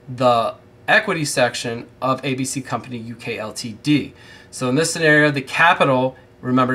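A man's voice: mid-range voice, sharp tone. An American man, around his thirties, explaining like an accounting instructor in a conversational, informal tutorial style with self-deprecating asides. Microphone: close microphone on a boom arm.

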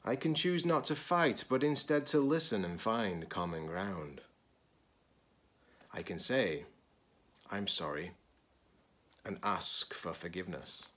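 A middle-aged man, a teacher-librarian, reading a children's picture book aloud deliberately, in short phrases with pauses. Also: low-pitched, lively intonation, bright, forceful tone.

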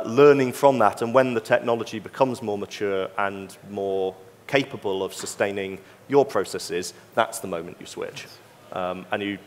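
Guy on a conference panel, lowish voice, careful measured explaining, big reverby hall. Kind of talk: unscripted panel discussion. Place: on stage in a large conference hall.

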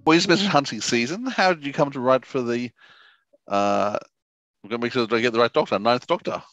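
A man's voice: mid-range voice, fairly quick, a bit bright, dry recording. A middle-aged man putting casual questions as an interviewer over a video call. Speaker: podcast host, interviewer. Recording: dull, lo-fi call audio.

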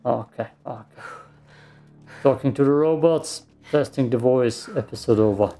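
A man giving casual, low-key gameplay commentary in muttered asides. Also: measured pace, dark tone. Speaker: gamer doing a let's play.

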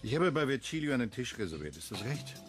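An actor playing an earnest, reassuring boyfriend in a radio crime drama. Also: male voice, medium pitch, very animated, fast talker, over music, lightly acted. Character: earnest, reassuring boyfriend with something on his mind.

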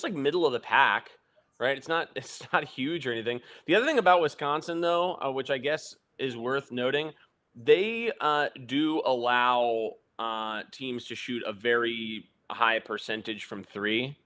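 A young man co-hosting a sports podcast from home, heard over a video call. He rambles through his analysis with lots of fillers and self-corrections, and his pitch sits right in the middle for a man. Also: sharp tone. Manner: rambling, analytical, frequent fillers and restarts, laughs often. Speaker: podcast co-host. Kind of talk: casual postgame sports chat.

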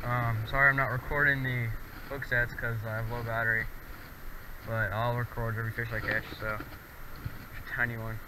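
A man's voice: medium pitch, level tone, normal speed, long pauses. A young American man chatting casually as a fishing vlogger, recorded outdoors on a small camera. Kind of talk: casual outdoor vlog chat. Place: outdoors beside a pond. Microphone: action camera worn or handheld. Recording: very noisy windy outdoor camera audio.

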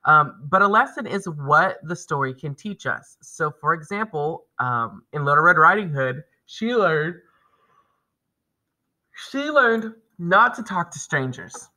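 A man's voice: on the high side, lively intonation, a little slow, bright, forceful tone, poor audio. A man in his thirties teaching a reading lesson to children, explaining and reading aloud with emphasis. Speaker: elementary school teacher.

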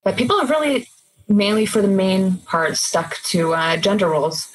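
A woman's voice: medium pitch, expressive, rough recording. A woman in her thirties, an emergency nurse, talking casually. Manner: chatty, quick asides with fillers like "like". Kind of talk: casual interview chat.

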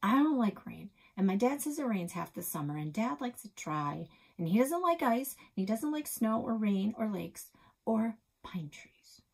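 A woman's voice: mid-range voice, very animated, normal speed, gravelly, slightly rough, pitched above the performer's own voice, full character voice. A woman reading a children's book aloud, voicing a sulky, homesick young boy who complains and rambles. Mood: complaining.

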